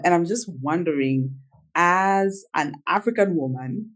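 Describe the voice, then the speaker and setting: A woman's voice: on the low side, expressive, unhurried. A woman hosting a podcast interview, affirming and reflecting on her guest's story. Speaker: podcast host.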